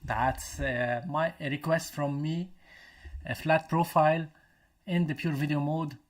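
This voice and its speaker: A man from Lebanon whose first language is Arabic, hosting a casual live stream chat over a video call, pausing and restarting as he looks for the right English words. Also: on the high side, fairly even intonation. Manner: hesitant, restarts while searching for English words. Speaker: channel host.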